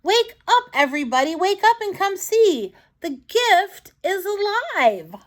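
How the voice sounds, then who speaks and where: A woman voicing an excitable young farm girl from a storybook, exclaiming with delight. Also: extremely high pitch, a little slow, pitched above the performer's own voice, exaggerated voice. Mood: excited, joyful.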